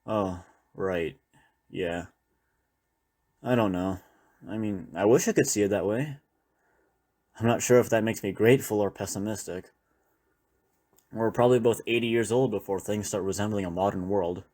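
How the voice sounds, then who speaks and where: A man's voice: fairly low pitch, normal speed, pauses often, a little mellow, lightly acted. A man playing a weary former doctor surviving a zombie apocalypse, talking in a reflective, conversational way to a trusted partner in an intimate audio roleplay. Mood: wistful, reflective. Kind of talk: intimate audio roleplay, one-sided dialogue.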